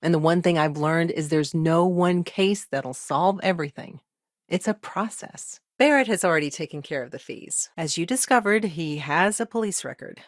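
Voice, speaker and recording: An earnest, dry-witted woman lawyer from a frontier settlement, voiced by a game actress. Her voice sits slightly low, and she talks in a measured, naturalistic, matter-of-fact way. The sound is very dry, with no room on it. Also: no echo, close to an everyday voice. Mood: businesslike. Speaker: video game character voice. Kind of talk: naturalistic video game dialogue acting.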